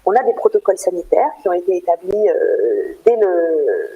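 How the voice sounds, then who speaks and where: A woman answering radio interview questions in an explanatory way, often pausing as she searches for words. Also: lowish voice, animated, breathy edge, highs cut off. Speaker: theatre programme manager, interview guest.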